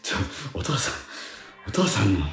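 A man, likely middle-aged, chatting casually as a radio host and telling anecdotes, acting out the quoted lines loudly. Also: moderate pace. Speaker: radio show host and stage actor. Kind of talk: casual chat, animated anecdotes. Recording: roomy echo, music behind.

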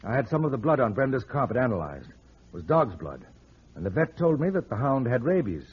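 An actor playing a shrewd, sardonic private detective in an old-time radio drama. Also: male voice, medium pitch, big pitch swings, fairly quick, breathy edge. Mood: taunting. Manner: sardonic, explaining, teasing. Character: wisecracking, shrewd male private detective, smooth with women. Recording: muffled vintage radio drama recording.

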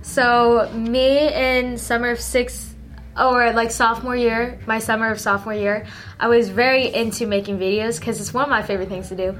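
Chatty teenage girl with a higher voice. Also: steady tone, normal speed, a bit bright. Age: late teens. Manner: chatty, lots of "like" fillers, upbeat. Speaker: interview guest, social media creator. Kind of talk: casual interview chat.

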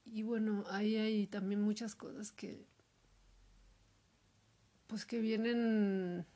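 A woman talking alone in a hesitant, reflective monologue, full of fillers and pauses. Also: mid-range voice, flat delivery, dark tone. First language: Spanish. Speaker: podcaster. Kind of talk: personal monologue.

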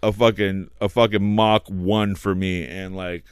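A man hosting a casual podcast chat, talking loudly and passionately, swearing and filling his speech with "like". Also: low-pitched, little pitch movement, measured pace, clean tone, no room sound, clean studio sound. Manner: loud, passionate, swears, heavy use of "like". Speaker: podcast host.

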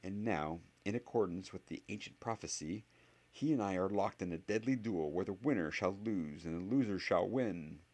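A man's voice: low-pitched, darkish tone, pitched above the performer's own voice. A man playing a clever, earnest boy wizard. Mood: mock-dramatic. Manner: quick, earnest, analytical. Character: eleven-year-old boy wizard, clever and curious.

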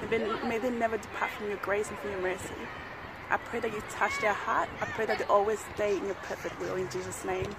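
A woman's voice: medium pitch, flat delivery, a little fast. A young woman from Ghana giving an earnest casual testimony outdoors, often saying "you know". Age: young adult. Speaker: interview guest. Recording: loud street crowd and traffic noise. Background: crowd and traffic.

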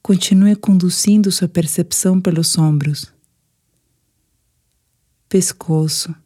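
A Brazilian woman narrating a scripted guided sleep meditation in a soothing, gentle, unhurried manner. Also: on the low side.

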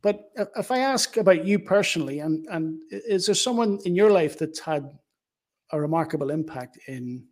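A man's voice: fairly high pitch, lively intonation, moderate pace. A man hosting a conversational interview, speaking earnestly and feeling his way through his phrasing. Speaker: podcast host, interviewer.

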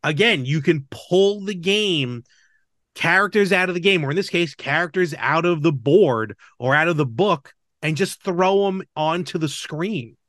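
A middle-aged man hosts a casual podcast chat, wandering through asides. His voice is very animated and a touch high. It is recorded close and dry, with almost no room sound. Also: measured pace, no echo. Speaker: podcast host.

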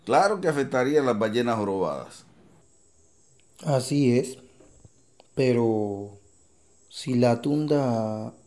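A man's voice: medium pitch, darkish tone, natural voice. A man acting an earnest coastal villager in an educational radio drama, reasoning aloud.

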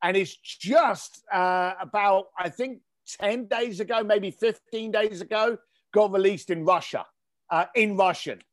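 A high-pitched man speaks as an interview guest, telling his story with quoted back-and-forths. He stresses points by repeating them. His pace is conversational. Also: a little slow. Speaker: guest, author and business owner. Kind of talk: casual interview.

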